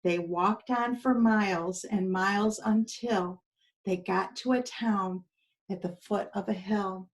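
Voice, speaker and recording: A woman's voice: mid-range voice, takes it slowly, low-quality recording. A woman preschool teacher reading a storybook aloud expressively to young children, with little asides to her listeners.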